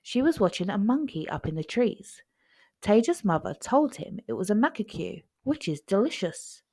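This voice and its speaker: A woman reads a children's storybook aloud. Her narration is highly expressive, with lively rises and falls, and the sound is close and dry. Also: moderate pace, no room sound.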